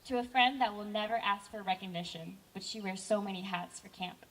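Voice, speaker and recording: A woman's voice: medium pitch, brisk. An earnest young woman delivers a personal speech to a camp crowd. Her voice sits mid-range and comes across a little distant from the microphone. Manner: heartfelt, earnest, with brief self-corrections. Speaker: camp director giving a speech.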